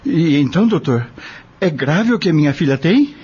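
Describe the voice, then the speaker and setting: A humble, worried Brazilian farmhand father acted by a man in an audio drama. He speaks simply and plaintively in a fairly high, husky voice that rises and falls expressively. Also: lively intonation, moderate pace, dark tone. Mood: worried, puzzled. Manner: plaintive, simple colloquial speech. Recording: dull lo-fi audio.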